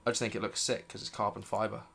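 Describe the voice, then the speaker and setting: A British man in his thirties with a croaky voice from illness, chatting enthusiastically about his gear to camera in a home studio. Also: fairly low pitch, little pitch movement, quick pace, fairly bright tone. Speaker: vlogger. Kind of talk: casual gear review to camera. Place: dim home studio room, indoors.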